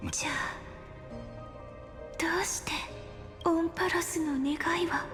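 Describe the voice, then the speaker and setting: A woman playing a gentle, wistful young female companion in an anime game, speaking softly and breathily with a questioning air. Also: very high-pitched, fairly even intonation, a little fast. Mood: wistful, sorrowful. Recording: long reverb under clear music.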